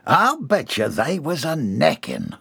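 A man's voice: fairly high pitch, wildly swooping pitch, slow pace, gravelly, pushed and bright, slightly rough, no echo, voice pushed up, full character voice. A man voicing a brash, folksy country man who drawls in slang. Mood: jovial.

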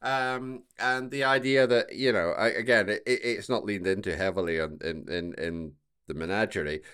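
A man hosting a podcast, casually discussing a TV show in wordy, halting phrases full of repeated words. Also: fairly low pitch, fairly bright tone, smooth. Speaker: podcast host.

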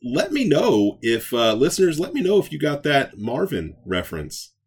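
A man's voice: on the high side, huge pitch swings, normal speed, breathy edge. A man co-hosting a podcast, talking casually and enthusiastically about nerd news with playful joking. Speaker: podcast co-host.

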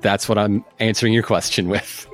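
A man's voice: fairly low pitch, steady tone, fairly quick, faint music behind, poor audio. A man playing a tabletop game who muses hesitantly as he answers in casual chat. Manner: hesitant, musing. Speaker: player.

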